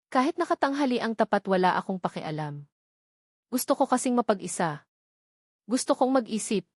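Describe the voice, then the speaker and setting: A woman's voice: medium pitch, no echo, studio quality. A woman with a Filipino accent narrating a first-person romance novel as a scripted story. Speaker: audiobook narrator.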